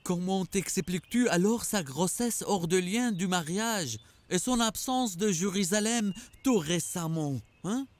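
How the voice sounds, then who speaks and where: A man playing a troubled, devout townsperson in an animated religious drama, earnest and plaintive. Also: high-pitched, a little slow. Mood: troubled, doubting.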